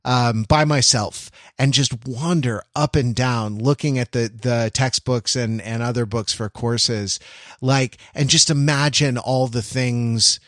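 A man's voice: mid-range voice, fairly even intonation, fairly bright tone, no room sound, studio quality. Rambling, wry man in his forties. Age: 40s. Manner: rambling, halting, wry storyteller. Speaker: podcast panelist. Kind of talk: casual reflective chat.

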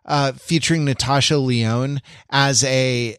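A man co-hosting a casual pop culture podcast, talking in a halting, circling way and repeating phrases. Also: mid-range voice, fairly even intonation, fairly bright tone, clean tone, dry recording, clean studio sound. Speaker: podcast host.

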